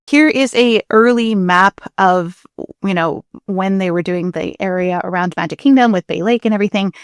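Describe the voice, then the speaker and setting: Female podcast co-host, lower register, chatty and informal explainer, dry close recording. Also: dry recording. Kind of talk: casual explanatory podcast talk.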